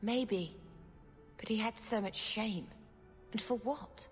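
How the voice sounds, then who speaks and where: A woman playing a gentle, dutiful young mage sister in a video game, speaking earnestly and reflectively. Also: mid-range voice, slow, lightly acted. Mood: pensive. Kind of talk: video game character acting. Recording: reverberant hall, music behind.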